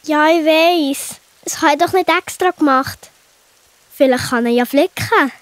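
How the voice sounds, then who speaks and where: A woman's voice: high-pitched, a little slow, no echo. A woman playing an impulsive young girl in a children's audio play. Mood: anxious.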